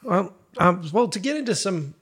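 A man's voice: high-pitched, animated, normal speed, fairly bright tone. A man hosting a podcast, asking questions and adding short supportive interjections. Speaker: podcast host. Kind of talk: interviewing, backchanneling.

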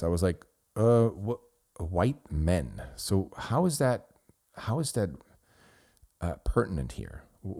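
A middle-aged podcast host from Switzerland, Swiss German by birth, speaking in a deep voice. His tone is warm and dark as he talks through a review in an earnest, rambling way. He restarts sentences often as he defends himself. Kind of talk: responding to a listener review.

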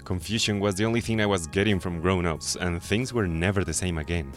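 A man's voice: deep voice, fairly quick, soft background music. A man in his forties, the podcast's producer, chiming in with brief, prompting lines. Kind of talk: scripted co-host banter.

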